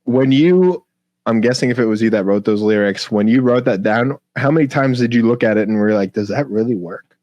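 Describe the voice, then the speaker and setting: A man's voice: mid-range voice, brisk, darkish tone, no echo, muffled recording. A man hosting a podcast, chatting casually in a blunt, slangy and supportive way. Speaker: podcast host.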